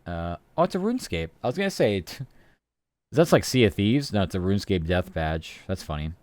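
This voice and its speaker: Low, smooth-voiced male streamer rambling. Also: expressive, brisk, a little mellow, no room sound. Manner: rambling, chatty, answers chat, swears casually. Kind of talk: casual live-stream chat.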